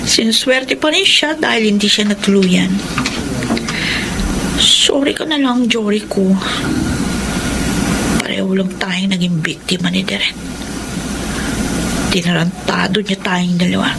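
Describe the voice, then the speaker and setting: An actress playing a flamboyant, scheming gossip in a radio drama monologue, theatrical and drawling with sardonic mock pity. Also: female voice, medium pitch, unhurried, gravelly, long pauses, heavy background noise. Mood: gloating, mock pity.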